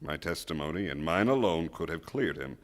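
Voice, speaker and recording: An actor playing a feverish, penitent old man on his deathbed in a stage radio drama, speaking in halting, anguished declamation. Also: very deep voice, expressive. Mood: confessing, weary. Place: theatre stage before a live audience.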